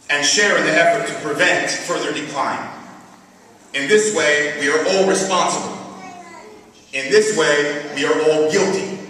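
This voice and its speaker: Bright-voiced man speechmaking in a very echoey hall. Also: on the high side. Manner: earnest, formal, rhetorical repetition. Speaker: activist speaker at a community event. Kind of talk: prepared political speech. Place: large hall at an Islamic center event. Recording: very echoey hall, distant mic, faint noise.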